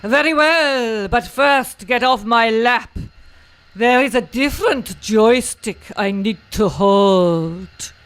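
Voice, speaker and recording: A man's voice: very high voice, a bit bright, smooth, voice pushed up, full character voice. A man acting a smug, flirtatious pilot hero in a spoof 1940s radio play, drawing his words out suggestively. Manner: slow, drawn-out, suggestive delivery. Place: studio.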